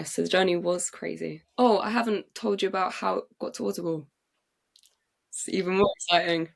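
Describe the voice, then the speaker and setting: A young English woman, a playwright and actress, telling her story in an excited, chatty way with many fillers and restarts. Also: lowish voice, gravelly. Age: young adult. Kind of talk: casual interview storytelling. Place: podcast recording.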